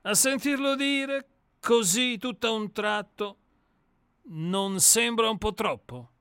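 A man's voice: sits high, takes it slowly, bright, forceful tone, clean tone, no room sound, clean studio sound, pitched above the performer's own voice, heavily acted. A man voicing an ironic, composed famous novelist who is also a murderer, in a dramatized radio play reading. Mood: wry.

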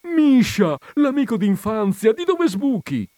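A man's voice: medium pitch, lively intonation, moderate pace, a little mellow, no echo, clean studio sound, voice pushed up, natural voice. A man voicing a thin, chattering old school friend in a short story reading, gushing and repeating himself. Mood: amazed, gushing.

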